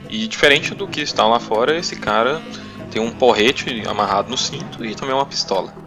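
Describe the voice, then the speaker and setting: A man's voice: mid-range voice, brisk, music in the background. A young Brazilian man improvising tabletop RPG narration as game master, hesitantly searching for his words.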